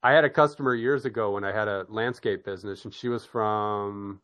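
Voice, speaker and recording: A middle-aged man hosts a relaxed conversation in a fairly low voice. He rambles, stumbles over repeated words and restarts, and laughs easily as he talks. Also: a little fast. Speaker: podcast host. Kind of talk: casual chat.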